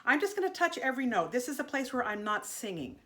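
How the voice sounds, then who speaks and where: A woman teaching an online singing lesson in a gentle, chatty way, her voice a little congested from allergies. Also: high-pitched, very animated, fast talker. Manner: gentle, chatty, demonstrating vocal sounds.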